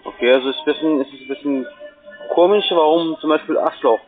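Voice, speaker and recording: A man's voice: fairly high pitch, fast talker, soft background music. Man on a tinny phone line. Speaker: call-centre phone caller. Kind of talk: unscripted sales call.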